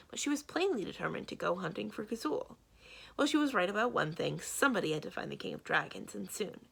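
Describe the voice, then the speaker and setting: A woman reading a children's story aloud as an expressive library storyteller. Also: mid-range voice, gravelly, breathy edge.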